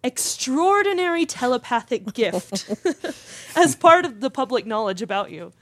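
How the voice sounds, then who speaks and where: A woman's voice: high voice, very animated, a little slow, heavily acted. A woman playing a polished, effusive and probing talk show host in a scripted drama interview.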